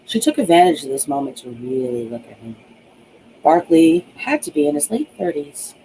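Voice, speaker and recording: A woman reading her own novel aloud as an audiobook, in expressive narration with small stumbles. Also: low voice, normal speed, a little hiss or hum, poor audio.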